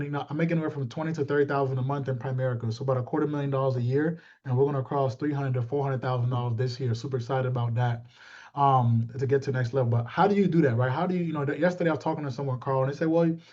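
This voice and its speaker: Fast-talking man, slightly muffled call. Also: medium pitch, fairly even intonation, darkish tone. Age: 30s. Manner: informal, repetitive, checking in with listeners. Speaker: sales team leader and coach. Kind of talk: motivational team training talk. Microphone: video call. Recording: muffled, lo-fi video call audio.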